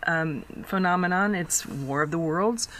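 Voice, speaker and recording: A man's voice: on the high side, unhurried, fairly bright tone. A man talking casually as a guest on a show, explaining in conversation.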